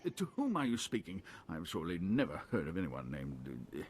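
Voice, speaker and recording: A man's voice: deep voice, wildly swooping pitch, fairly quick, a little mellow, a little hiss or hum. An actor playing a whiny, pampered nobleman in a video game, prim, plaintive and easily flustered. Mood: prim, hesitant.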